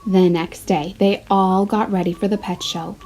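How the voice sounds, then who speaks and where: A woman's voice: medium pitch, fairly even intonation, normal speed, dark tone, soft background music. A woman, a first grade teacher, reading a picture book expressively and putting questions directly to young children.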